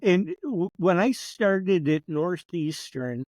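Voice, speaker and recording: A man's voice: high voice, sing-song, takes it slowly, darkish tone, dry recording. A man in his sixties, a professor and podcast guest, chatting casually and telling wry stories. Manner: reminiscing storyteller, chatty and wry. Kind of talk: casual conversational interview.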